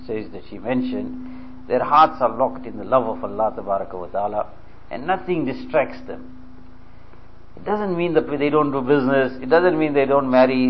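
A man giving a sermon, telling a story at an unhurried pace and explaining terms as he goes. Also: mid-range voice, highs cut off, slight background noise. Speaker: religious speaker.

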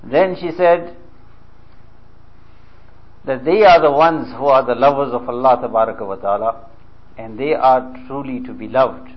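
A man giving a sermon, telling a story at an unhurried pace and explaining terms as he goes. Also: medium pitch, lo-fi sound. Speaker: religious speaker.

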